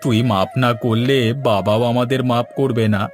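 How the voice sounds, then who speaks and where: A man voicing a headstrong, greedy eldest brother in a fairy tale cartoon, with a brash, excitable manner. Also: mid-range voice, moderate pace, faint music behind, lightly acted. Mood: remorseful.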